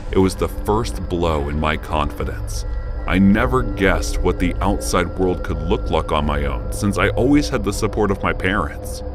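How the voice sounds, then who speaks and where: A man narrating a first-person horror story in a studio voice-over, jumping up when indignant and going low and hushed in tense moments, over background music. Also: normal speed. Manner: expressive, pitch jumps up on indignant lines, drops low and quiet in tense moments.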